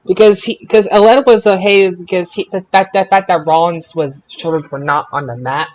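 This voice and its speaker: A man hosting a casual panel podcast about wrestling results, speaking in an emphatic, excitable way. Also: sits high, normal speed, low-quality recording. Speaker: podcast host.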